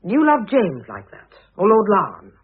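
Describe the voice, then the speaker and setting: An actress playing a shrewd middle-aged society wife in a classic radio drama, dry and pointed, with a husky voice. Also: female voice, mid-range voice, huge pitch swings, a little slow, heavily acted. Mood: probing, earnest. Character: older, shrewd, cutting society wife, coolly probing. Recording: muffled phone-like old radio recording.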